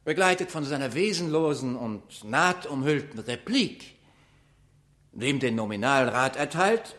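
Pompous philosopher, fairly high voice, grandiose lecturing declamation for vintage radio comedy. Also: male voice. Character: pompous male philosopher lecturing in lofty abstract jargon. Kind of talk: 1960s radio drama, mock-academic declamation.

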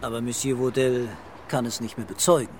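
An actor playing a laconic, patient male police commissioner putting unhurried questions in a radio drama. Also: medium pitch, little pitch movement, slight background noise, natural voice. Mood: patient, questioning.

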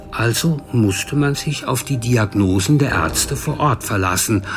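An actor playing a matter-of-fact male official at a care institution, measured and polite, in a naturalistic radio drama. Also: lowish voice, close to an everyday voice.

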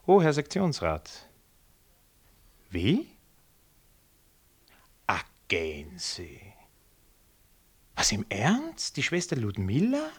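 An actor playing an affable, ironic ministry official in a radio drama, courteous and wry. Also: male voice, fairly low pitch, full character voice. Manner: courteous, wry, chuckling asides. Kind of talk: 1960s German radio drama acting.

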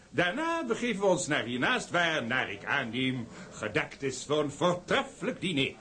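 Ceremonious banquet host, lively pitch. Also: male voice. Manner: stately, ceremonious, with hesitations. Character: formal, courteous gentleman host of a banquet. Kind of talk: Dutch period drama acting, formal speechmaking. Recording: chattering party crowd behind.

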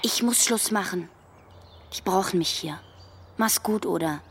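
A woman's voice: medium pitch, unhurried, heavy background noise, lightly acted. An actress playing a guarded, defiant young woman activist in a radio drama. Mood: guarded, cold. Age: young adult. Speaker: actor. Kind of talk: radio drama dialogue and monologue.

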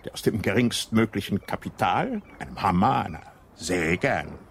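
An actor playing a dry, ironic British police inspector in a radio drama, full of sly understatement. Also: male voice, fairly low pitch, expressive, moderate pace, vocal fry, a little hiss or hum. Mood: sardonic. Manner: wry understatement, mock-casual.

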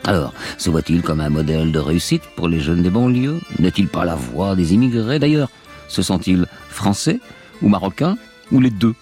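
Very deep-voiced male documentary narrator. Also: expressive, dark tone, soft background music. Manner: measured, storytelling delivery. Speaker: radio documentary host. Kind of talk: scripted radio documentary narration.

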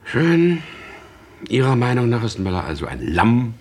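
An actor playing a persistent police chief inspector in a radio crime drama, questioning in an insistent, controlled, needling way. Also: male voice, medium pitch, sing-song, unhurried.

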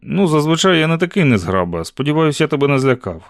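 A man's voice: fairly high pitch, very animated, fast talker, no room sound, voice pushed up. An earnest young man on a mission in a storybook, voiced by a male narrator. He speaks politely, with boyish charm, a little above a middle register. The sound is dry and close. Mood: apologetic.

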